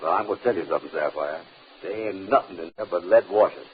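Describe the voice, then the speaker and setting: An actor playing a fast-talking, excitable male schemer in a vintage radio comedy, with folksy, insistent delivery. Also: low-pitched, lightly acted. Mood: smooth-talking, persuasive. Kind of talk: 1950s radio situation comedy acting. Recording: tinny old radio recording, faint hiss.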